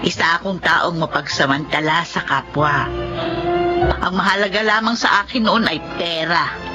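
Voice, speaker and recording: A woman's voice: medium pitch, natural voice. A woman playing a shrewd, greedy, practical eatery owner with a Filipino accent in a radio drama. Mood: remorseful. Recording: muffled radio drama with music underneath.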